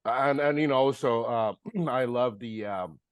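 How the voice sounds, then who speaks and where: Man, middle-aged, halting earnest talk, dry close sound. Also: medium pitch, clean tone, no echo. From Japan. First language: Japanese. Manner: halting, many fillers and restarts, earnest. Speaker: filmmaker guest. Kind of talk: interview conversation.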